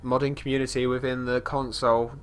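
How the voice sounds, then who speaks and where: A man's voice: medium pitch, little pitch movement, a little fast, a little hiss or hum. Congested man rambling over gameplay. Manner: rambling, chatty, often restarting sentences; talks about his character in third person. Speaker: let's play host. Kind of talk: casual gameplay commentary.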